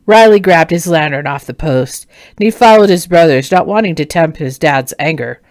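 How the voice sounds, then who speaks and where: A woman narrating a fantasy novel as its author, in an expressive storybook reading style. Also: low-pitched, normal speed, no echo, studio quality.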